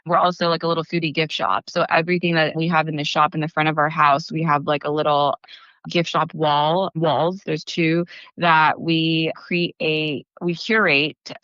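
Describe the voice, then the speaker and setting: A young woman chatting on an interview podcast, her voice bright and smooth against a dry, slightly dull recording. She talks casually, trails off, restarts, and fills her sentences with "like.". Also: deep voice, flat delivery, moderate pace, dry recording. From Philippines, raised in California. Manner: chatty, lots of fillers and restarts. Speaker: bakery founder, guest.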